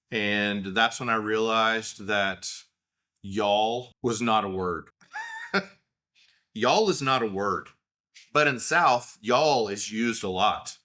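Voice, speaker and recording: A man's voice: on the low side, pushed and bright. A man in his thirties with an Oklahoma accent, telling rambling, self-deprecating stories in a casual solo talk.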